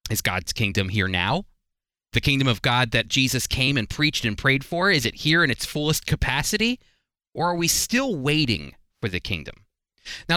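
A man's voice: medium pitch, pushed and bright, smooth, no room sound. A man teaching the Bible as a radio host in a conversational, earnest and explanatory way.

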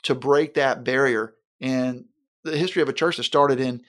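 A man, a pastor speaking in an earnest, measured way and slowing down for emphasis in a conversational interview. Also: medium pitch, steady tone. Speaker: senior pastor.

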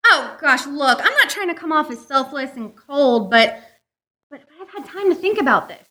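Casting: woman as exasperated girlfriend, high-pitched, very sharp voice, melodramatic and emphatic, radio comedy. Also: very animated, normal speed, heavily acted. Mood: firm, apologetic. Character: exasperated girlfriend dumping a clingy email service. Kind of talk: old-time radio style comedy sketch.

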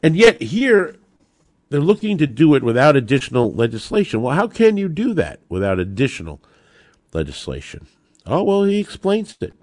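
A man's voice: fairly high pitch, wildly swooping pitch, normal speed, a little mellow, no echo, clean studio sound. A man with an American accent giving emphatic political commentary as a podcast host, talking straight to listeners with rhetorical turns. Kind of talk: political commentary on gun law.

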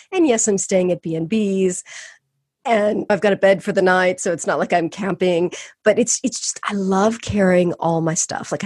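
A woman's voice: medium pitch, slightly rough, dry recording, studio quality. A woman guest in a casual interview, talking eagerly about long-distance walking and laughing often. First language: English. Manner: warm, enthusiastic, laughs often.

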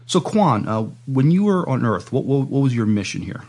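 A man hosting a playful, casual podcast interview, speaking politely and haltingly as he plays along with his guest. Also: mid-range voice, big pitch swings, vocal fry, a little mellow, breathy edge.

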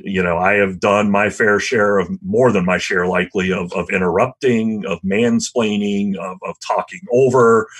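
Brief: middle-aged male teacher, loud, low and husky, reflective and self-mocking. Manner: thoughtful, self-deprecating, frequent restarts. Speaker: history teacher. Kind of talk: reflective interview answer.

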